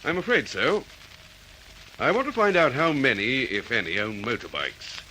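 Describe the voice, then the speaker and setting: An actor playing a methodical, courteous police detective in an Australian accent, in classic radio drama style. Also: male voice, mid-range voice, sing-song, normal speed, bright, forceful tone, slight background noise, low-quality recording. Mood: businesslike, probing.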